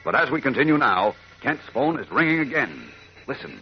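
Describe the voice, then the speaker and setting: A man's voice: medium pitch, big pitch swings, normal speed, slight background noise. An American man narrating a 1940s radio serial in a dramatic, declamatory way. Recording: muffled 1940s radio recording. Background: music.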